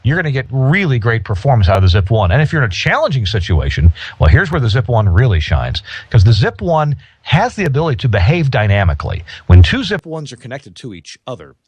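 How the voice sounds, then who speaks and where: American guy explaining audio gear, really lively intonation, informal, dry sound. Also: mid-range voice, quick pace, hardly any pauses, breathy edge, no echo. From United States. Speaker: audio equipment company representative. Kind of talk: conversational product explanation.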